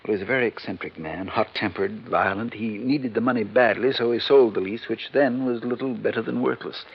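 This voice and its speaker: Anxious polite informant, deepish voice, earnest, formal, 1950s radio acting, muffled vintage recording. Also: male voice, a little fast, slightly rough. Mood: earnest, insistent. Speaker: actor in radio drama.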